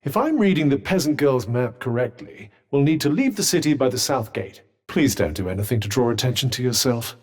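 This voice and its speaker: A haughty, sardonic magical vambrace talks as a video game companion. The British voice is mid-pitched and faintly rough, with highly varied intonation. Its manner is dry, wry and self-important. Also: male voice, sing-song, a little fast, few pauses. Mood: matter-of-fact, urging.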